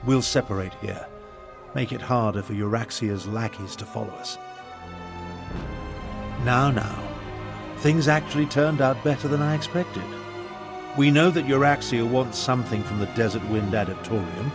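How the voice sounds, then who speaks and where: An actor playing a wry, fastidious and theatrical prince and mage in a fantasy video game, articulate and self-assured. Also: male voice, mid-range voice, close to an everyday voice. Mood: confident, reassuring.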